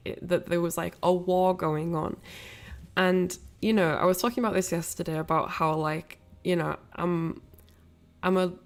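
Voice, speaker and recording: Gravelly, sniffly woman chatting on stream. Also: fairly low pitch. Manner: rambling, apologetic. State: ill with a cold, sniffly, coughing. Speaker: livestream host. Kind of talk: casual livestream chat with viewers.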